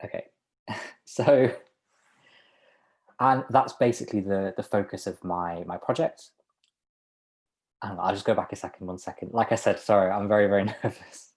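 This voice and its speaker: A man with a very deep voice speaks a presenter's words aloud as a sign language interpreter. He talks in the first person, halting at times with restarts and small laughs, over a video call. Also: a little mellow.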